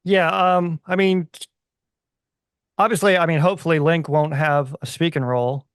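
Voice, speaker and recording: A man's voice: fairly high pitch, fairly even intonation, normal speed, smooth, dry recording, clean studio sound. A man speaking as a podcast guest in casual, conversational discussion.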